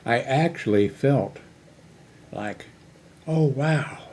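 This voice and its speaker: A man's voice: mid-range voice, drawn-out words, a little mellow. A man in his eighties talking in a rambling personal monologue, full of fillers and restarts. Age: 80s. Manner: meandering, many fillers and restarts. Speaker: channel host.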